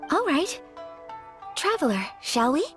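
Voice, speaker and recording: A woman's voice: high-pitched, sing-song, a little slow, faint music behind. A woman playing a polite, modest young noblewoman in a video game, speaking formally and earnestly. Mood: shy, earnest.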